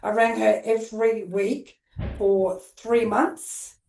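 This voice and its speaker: A woman's voice: a little high, fairly even intonation, slow, creaky, darkish tone, recorded from a distance. A middle-aged Australian woman from Queensland, a social worker telling her life story in a casual, rambling way with plenty of fillers. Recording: roomy echo.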